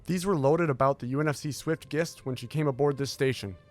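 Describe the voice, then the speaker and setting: A man's voice: medium pitch, lightly acted. Man acting as formal military scientist over music. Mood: formal, explanatory. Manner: measured, formal, authoritative. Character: composed, determined male military intelligence scientist briefing Marines. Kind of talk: audio drama acting, briefing.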